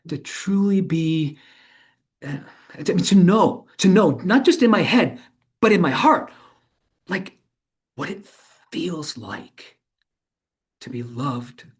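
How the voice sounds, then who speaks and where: A man performing a dramatized monologue as an earnest, reflective middle-aged former tech entrepreneur, halting and searching as he speaks. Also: on the high side. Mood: reflective, moved. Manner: halting, searching, self-correcting delivery with emphatic swells. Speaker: stage performer of a true-story monologue. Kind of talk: dramatized monologue in conversational interview manner.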